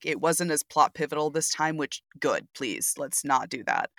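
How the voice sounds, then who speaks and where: A woman co-hosting a podcast, chatting casually with a very expressive, inflection-rich delivery. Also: low-pitched, normal speed, no echo, clean studio sound. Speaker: podcast co-host. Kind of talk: casual chat.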